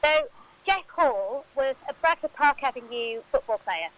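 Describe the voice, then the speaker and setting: A woman's voice: high voice, lively intonation, moderate pace, fairly bright tone, muffled recording. A woman speaking as a football club spokesperson, giving answers in a radio interview.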